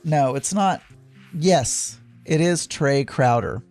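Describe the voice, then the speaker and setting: A man with a Southern US accent telling a meandering, chatty story about his week, stopping often to correct himself and fill pauses. His voice sits a touch higher than most men's. Soft music plays faintly behind him. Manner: rambling, self-correcting storytelling with frequent fillers. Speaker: podcast host. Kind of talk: casual chat.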